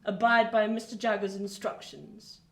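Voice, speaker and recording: A woman's voice: medium pitch, normal speed, creaky, close to an everyday voice. A woman playing an eccentric, imperious older lady in a theatrical radio play. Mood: grand, eerie. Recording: roomy echo.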